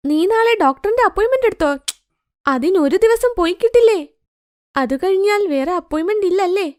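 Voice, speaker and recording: Woman putting on a haughty, high-pitched young daughter-in-law. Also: lively intonation, fairly quick, a little mellow, no room sound, studio quality. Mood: boastful. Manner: complaining, showy. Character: haughty, boastful young daughter-in-law, whiny and self-centred. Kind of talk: cartoon moral story dialogue.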